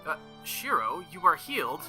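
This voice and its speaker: A man plays an earnest young woman knight from a visual novel, formal and stern but caring. The voice is bright and forceful and moves in lively pitch, with faint music underneath. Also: moderate pace, full character voice. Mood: softened, concerned. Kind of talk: visual novel dialogue read aloud.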